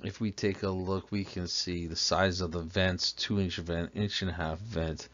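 A man's voice: deep voice, fairly even intonation, moderate pace, a bit bright. A man teaching a plumbing lesson, patiently explaining step by step and asking the listener questions. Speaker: plumbing instructor. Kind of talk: slideshow lesson narration. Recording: dull lo-fi voice-over.

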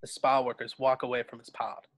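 A man's voice: medium pitch, level tone, fairly quick, creaky, fairly bright tone. A man narrating stage directions from a script at a table read over a video call.

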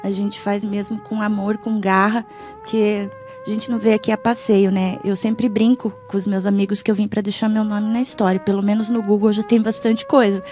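A woman's voice: mid-range voice, little pitch movement, normal speed, mellow. A middle-aged woman, chatting as a guest in a radio interview. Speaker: interview guest, blogger and video presenter. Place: small foam-padded radio studio. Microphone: studio microphone. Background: faint music.

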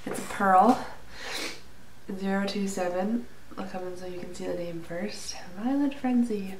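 A woman's voice: medium pitch, vocal fry. A woman in her thirties with a stuffy, nasal cold, chatting casually to camera about beauty products, a little out of breath and using fillers. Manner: chatty, with fillers and small laughs. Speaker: beauty vlogger. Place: small indoor room, plain wall. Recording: roomy, slightly distant with faint hiss.

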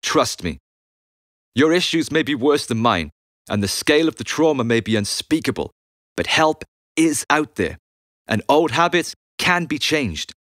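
A man reads his own memoir as an audiobook, with an animated, widely moving voice. His delivery is conversational and blunt, with firm emphasis on his points. The sound is dry and close, free of room echo. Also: medium pitch, a little slow. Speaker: author and musician reading own audiobook. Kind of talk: audiobook narration of a candid memoir.

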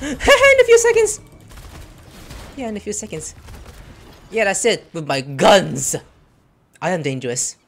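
A man commenting on his gameplay, loud, excitable and swearing, speaking at a desk mic with game audio under him. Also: high voice. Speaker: gaming streamer.

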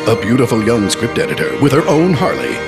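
A man's voice: medium pitch, wildly swooping pitch, a little fast, creaky. A man delivering scripted narration as the announcer of an audio trailer. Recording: music bed behind narration.

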